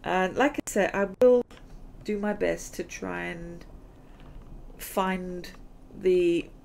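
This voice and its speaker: A woman's voice: lowish voice, takes it slowly, creaky, a little mellow, a little hiss or hum. A middle-aged woman hosting a gaming channel, chatting in a rambling way and laughing often while she loses her voice. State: losing her voice. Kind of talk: casual chat over gameplay.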